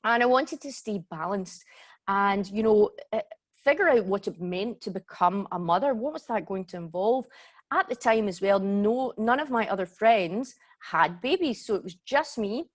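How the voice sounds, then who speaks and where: A woman's voice: mid-range voice, animated, normal speed. A woman in her forties with a Glaswegian accent, hosting a live online yoga workshop in a chatty way that keeps checking in with her listeners. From Scotland. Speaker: yoga teacher and workshop host. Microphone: computer mic on a video call.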